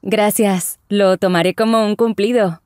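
A woman voicing Isabella, a friendly young traveler in a scripted lesson dialogue, speaking with clear careful enunciation. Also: medium pitch, fairly even intonation, measured pace, a little mellow, no echo, studio quality, lightly acted. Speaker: voice actor in language lesson dialogue. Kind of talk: scripted slow and normal-speed lesson dialogue.

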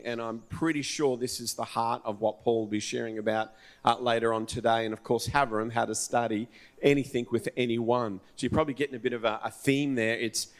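Middle-aged male pastor, mid-pitched, informal halting intro on a church stage, handheld mic. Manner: informal, hesitant with fillers and restarts. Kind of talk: introducing a speaker. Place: large dark church stage.

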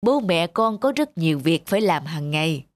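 Stern, kindly old Santa Claus. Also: female voice, sits low, very animated, normal speed. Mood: gentle. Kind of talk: dubbed cartoon storytelling. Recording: music under the voice. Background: background music.

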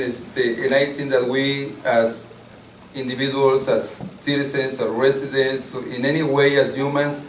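A man in his forties from Mexico City, a native Spanish speaker with a Mexican accent, moderating a public meeting from a lectern. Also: mid-range voice. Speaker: event moderator, activist. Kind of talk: informal public speech, partly read introduction. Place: wood-panelled community hall, at a lectern. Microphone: mic on stand. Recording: echoey hall, distant mic, faint noise.

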